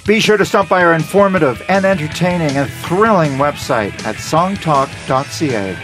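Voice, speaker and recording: A man's voice: fairly high pitch, lively intonation. Male radio host over background music. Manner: wry, reads out plugs and thanks briskly. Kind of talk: radio talk show wrap-up. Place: radio studio.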